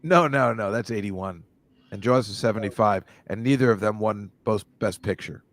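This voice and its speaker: A man's voice: lowish voice, normal speed, fairly bright tone, no room sound. A man, a comedian and film teacher, rambling in casual podcast chat and slipping into movie bits. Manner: rambling, slips into movie impressions.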